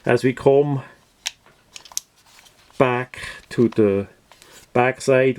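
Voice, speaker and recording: Male product reviewer, unhurried and methodical, unscripted hands-on delivery with halting phrasing. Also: medium pitch, darkish tone.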